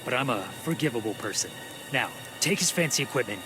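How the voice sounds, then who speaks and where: Guy doing a menacing sardonic killer for a game, bossy, medium pitch, music playing under. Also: animated, fast talker, lightly acted, low-quality recording. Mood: cold, commanding. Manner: commanding, sardonic. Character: cynical, menacing, domineering killer who calls himself damned. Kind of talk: video game voice acting. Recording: music behind.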